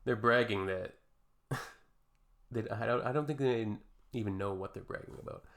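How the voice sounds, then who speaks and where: A man's voice: lowish voice, normal speed, vocal fry, fairly bright tone. A young Canadian man hosting a reaction video, reading posts aloud and adding dry, sarcastic, exasperated remarks.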